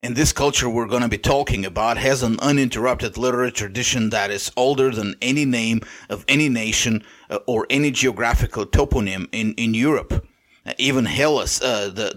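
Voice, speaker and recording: A man with a Bulgarian accent, whose first language is Bulgarian, hosting a podcast and lecturing in a measured monologue with occasional restarts. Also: medium pitch. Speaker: podcast host. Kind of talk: monologue commentary.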